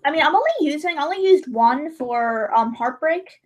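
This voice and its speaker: A woman's voice: high-pitched, lively intonation, moderate pace. A young woman chatting casually while drawing on a livestream, rambling and correcting herself, her voice a little strained. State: voice gone high and strained.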